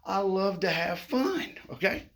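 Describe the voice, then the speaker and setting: A man's voice: sits high, very animated, unhurried, fairly bright tone, a little hiss or hum. A middle-aged man who stutters, talking to the camera in a playful, upbeat way and often adding "okay?". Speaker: vlogger. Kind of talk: casual motivational talk to camera.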